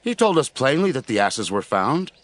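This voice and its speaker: A man's voice: mid-range voice, very animated, normal speed, no echo, close to an everyday voice. A man playing an earnest, plain-spoken traveler in a dramatized scripture audio drama. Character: earnest male traveler explaining himself to a relative.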